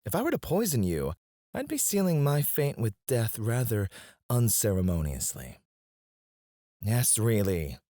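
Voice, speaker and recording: A man's voice: medium pitch, huge pitch swings, unhurried, dark tone, no room sound, studio quality. A man playing a cocky, disdainful royal alchemist in a scripted fantasy audio roleplay, speaking in a formal, haughty, theatrical way. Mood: cool disdain. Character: cocky, disdainful male royal alchemist, sharp-witted and proud. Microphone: close, dry studio mic.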